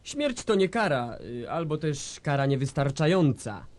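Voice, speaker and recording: An actor playing a composed, idealistic guerrilla leader, speaking with declamatory authority in a radio drama. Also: male voice, a little high, very animated, normal speed, darkish tone, no room sound. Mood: solemn conviction. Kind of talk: stage-like radio drama acting.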